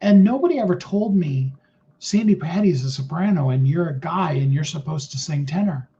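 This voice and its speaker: A middle-aged man speaks in a naturally high voice, earnest and rambling, piling up fillers as he circles his point. His tone is soft and mellow. The recording sounds muffled and lo-fi. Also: expressive, moderate pace, a little hiss or hum. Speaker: motivational speaker. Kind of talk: casual motivational talk.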